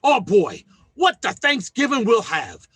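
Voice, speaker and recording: A man's voice: very high-pitched, wildly swooping pitch, a little slow, a bit bright, husky, no room sound, studio quality, exaggerated voice. An actor playing a good-hearted, blustery working-class father in an old-time radio comedy, folksy and ungrammatical, with sentimental turns. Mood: excited.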